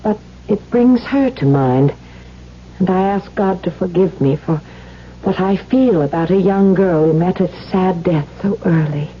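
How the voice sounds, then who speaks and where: A devoted and gentle noblewoman voiced by a woman speaks earnestly in a vintage radio play. Her voice is lowish and refined. The recording is dull, with a faint hum. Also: unhurried, lightly acted. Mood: anxious, confiding. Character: gentle, devoted, anxious aristocratic wife and mother. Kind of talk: 1970s radio drama acting.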